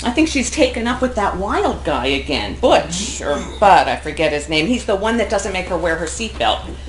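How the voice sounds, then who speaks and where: Woman acting an animated middle-aged wife, echoey stage. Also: fairly low pitch, normal speed. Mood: concerned, earnest. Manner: giggly, animated, theatrical. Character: middle-aged wife, playful secret agent, safety-minded mother. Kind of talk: live stage acting in a comedic play. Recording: echoey stage workshop, clear background noise.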